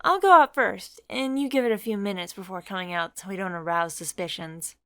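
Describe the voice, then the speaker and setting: A perky, eager young woman who pushes her friend along in a comic-dub story, as a woman reader puts her on. Her voice rides higher and brighter, keen and chatty. The recording is dry and close. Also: fairly quick, clean tone, no echo. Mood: upbeat. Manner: upbeat and eager, high bright delivery.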